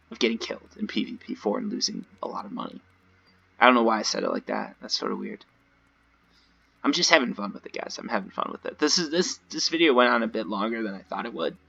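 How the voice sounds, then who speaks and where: Slightly hoarse, gravelly man commentating gameplay. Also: fairly low pitch, sharp tone, lo-fi sound. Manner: rambling, conversational, addressing viewers directly. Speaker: gaming video creator. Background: voice-over recorded over gameplay.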